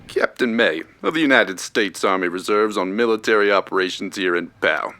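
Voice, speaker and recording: A man's voice: on the low side, normal speed, no echo. A man playing a boastful, commanding army captain giving a field report in a radio drama. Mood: proud, cocky. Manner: boastful, forceful.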